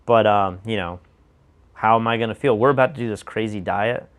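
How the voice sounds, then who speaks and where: An American man co-hosting a casual discussion show, speaking emphatically with a slightly raspy voice and throwing out rhetorical questions. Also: fairly low pitch, a little fast. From United States. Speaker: show co-host.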